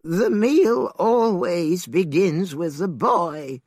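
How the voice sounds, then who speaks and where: A man doing a quiet, grave village father. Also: high voice, drawn-out words, no echo, clean studio sound, voice pushed up, full character voice.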